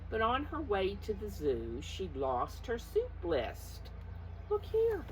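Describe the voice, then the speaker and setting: A woman preschool teacher reading a picture book aloud to young children, expressive and coaxing, often putting questions straight to her listeners. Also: mid-range voice, takes it slowly, slight background noise, poor audio.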